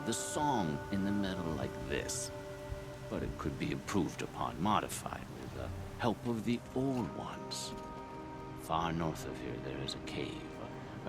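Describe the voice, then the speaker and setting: Game shaman, very deep, creaky, cryptic, measured, reverent, loud music behind. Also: sing-song, exaggerated voice. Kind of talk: video game dialogue acting.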